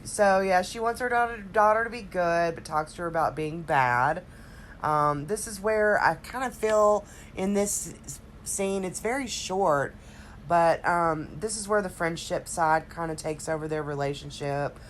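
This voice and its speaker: A woman with a very low, throaty voice, slightly stuffed up from a cold. She co-hosts a casual recap podcast and rambles along with pauses and hesitations. There is some steady noise behind her. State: recovering from a cold, slightly congested. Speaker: podcast co-host.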